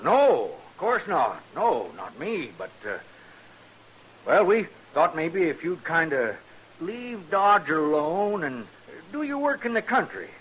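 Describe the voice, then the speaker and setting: A man's voice: a little high, fairly bright tone. An actor playing a fussy, cowardly town businessman who stammers and hedges evasively in an old-time western radio drama. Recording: noisy, hissy old-time radio recording.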